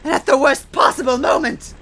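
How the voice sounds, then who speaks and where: A man's voice: fairly even intonation, a little fast, bright, forceful tone. Screeching, raging villainess sorceress played by a man. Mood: furious. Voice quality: screechy, shrill. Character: raging female arch-villain casting a spell.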